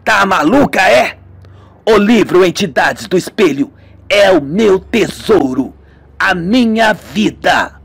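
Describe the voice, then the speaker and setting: Radio serial part: man as suspicious old shopkeeper lady, fussy, curt, high-pitched, drawn-out words. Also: animated, gravelly, a bit bright, slightly rough, heavily acted. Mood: wary, indignant. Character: wary elderly Japanese woman shopkeeper, fussy. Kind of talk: radio melodrama acting.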